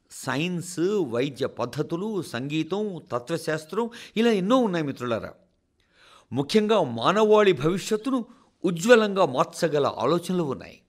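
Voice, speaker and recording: A man reads a formal scripted radio address as a presenter, in a measured, rhetorical way that speaks directly to listeners. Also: a little high. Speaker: radio presenter reading a translated national address.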